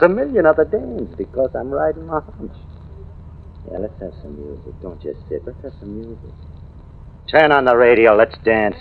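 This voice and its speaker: A man's voice: mid-range voice, vocal fry, heavily acted. An actor playing a menacing, unhinged tough-guy lover, brooding and prone to outbursts, in old-time radio noir style. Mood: brooding, agitated. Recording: tinny vintage radio recording with music.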